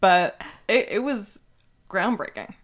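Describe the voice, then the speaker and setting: A young woman with a deep voice, co-hosting a podcast and telling rambling stories full of filler words. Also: expressive, slow pace. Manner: rambling anecdotes, many "like" fillers. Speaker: podcast co-host. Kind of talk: casual podcast chat.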